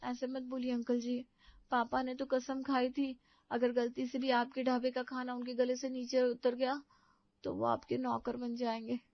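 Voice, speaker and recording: A woman voices a meek young person in a story drama. The delivery is extremely monotone, subdued and pleading, in a high voice on dull, lo-fi audio. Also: normal speed, no room sound. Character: meek, pleading youngster defending their father. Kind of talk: Hindi comedy story dramatisation.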